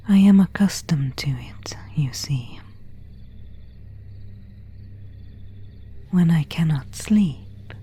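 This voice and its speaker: A woman's voice: low-pitched, dark tone, a little hiss or hum. A woman playing an elegant, seductive vampire of an ancient family in a whispered ASMR roleplay, speaking intimately in formal, archaic phrases. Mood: courteous, beguiling. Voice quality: breathy, unvoiced whisper.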